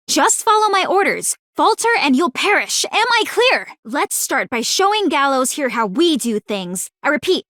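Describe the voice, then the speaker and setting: Game role: sharp-witted, suspicious young woman strategist. High, animated delivery, formal and commanding. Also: no echo, clean studio sound, full character voice. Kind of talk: scripted video game character voice acting.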